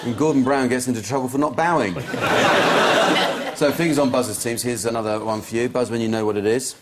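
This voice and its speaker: A man hosting a comedy news quiz, reading out gags with a dry, deadpan delivery in a TV studio. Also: medium pitch, a little fast, a loud crowd, very noisy. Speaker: panel show host. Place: TV studio with audience.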